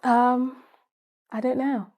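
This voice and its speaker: A woman's voice: high voice, takes it slowly. Cheeky British teenage girl, blunt. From Bristol, England. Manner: blunt, cheeky, flat replies. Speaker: interview guest.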